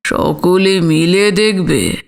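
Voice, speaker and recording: A woman voicing an elderly male village physician, grave, tender and sorrowful, speaking gently and solemnly with weighty pauses in an audio story. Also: on the low side, gravelly, pitched below the performer's own voice, exaggerated voice. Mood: absent-minded. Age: older.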